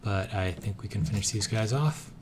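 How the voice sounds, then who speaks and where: A hoarse man casually thinking aloud, with many pauses and filler sounds, as he narrates his moves in a strategy game. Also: lowish voice, over music. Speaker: let's-play gamer.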